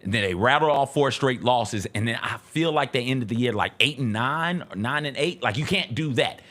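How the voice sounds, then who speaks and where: A man's voice: mid-range voice, animated, moderate pace, a bit bright. A man giving casual, emphatic sports commentary as a talk show co-host, repeating points for stress.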